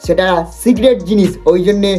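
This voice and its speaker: A person hosting a comic roast in a mocking, exaggerated, excitable way. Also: mid-range voice, a little fast. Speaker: prank video host and roaster. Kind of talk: teasing comic roast commentary. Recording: music behind the voice. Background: music.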